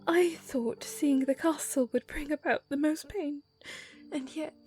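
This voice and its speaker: A young woman playing a gentle, grieving princess in a fan audio drama, speaking in hushed, halting, formal phrases. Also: sits high, faint music behind. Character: sorrowful young princess, gentle, haunted by past loss.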